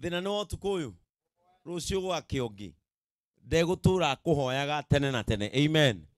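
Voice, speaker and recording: High-pitched Kenyan man preaching. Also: animated, a little fast, dry recording, studio quality. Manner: emphatic, repetitive, checks in with the audience. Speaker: pastor. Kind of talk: sermon to a live gathering. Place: outdoor tented event. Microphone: handheld microphone.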